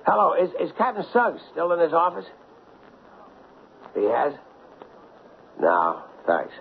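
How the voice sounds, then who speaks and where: Hard-nosed police sergeant, radio play; urgent and blunt, muffled noisy broadcast sound. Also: male voice, fairly high pitch, wildly swooping pitch, takes it slowly, creaky, full character voice. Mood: urgent, exasperated. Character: hard-nosed, impulsive, harried male police detective sergeant. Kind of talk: radio drama acting.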